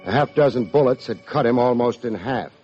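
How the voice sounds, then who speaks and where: An American actor playing a wry, hard-boiled private detective in an old radio drama, with dry, needling delivery. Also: male voice, medium pitch, animated, brisk, a little mellow, soft background music, lightly acted. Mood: grim narration. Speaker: private investigator and narrator. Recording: dull vintage radio recording.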